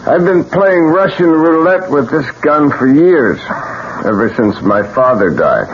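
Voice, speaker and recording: A bitter, death-courting painter in a 1970s radio mystery. His delivery is theatrical and mocking, with very lively swings of pitch. The sound is dull and faintly noisy. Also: measured pace. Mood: morbid, bitter. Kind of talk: 1970s radio drama acting. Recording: muffled, noisy 1970s radio drama recording.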